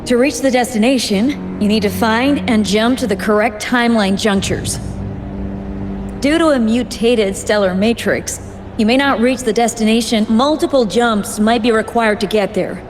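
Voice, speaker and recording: A woman playing a composed, knowledgeable guide in a video game, explaining things calmly and precisely. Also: fairly high pitch, normal speed, natural voice. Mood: instructive. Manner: calm, explanatory. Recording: background music under the voice.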